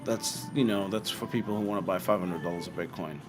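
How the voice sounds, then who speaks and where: A British man hosting a live show and answering viewer comments in a frank, blunt way, firing off quick rhetorical questions. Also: deep voice, music in the background. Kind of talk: casual livestream commentary.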